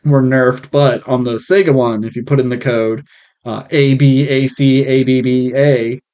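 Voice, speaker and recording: Guy chatting bluntly about movies on a podcast, opinionated, mid voice, muffled rough mic. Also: mid-range voice, fairly even intonation, unhurried, a little mellow. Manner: blunt, opinionated, swears casually. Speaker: podcast co-host. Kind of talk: casual film chat.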